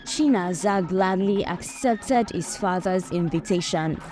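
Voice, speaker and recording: A woman narrating a scripted folktale as an expressive storyteller. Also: fairly low pitch, unhurried, music in the background.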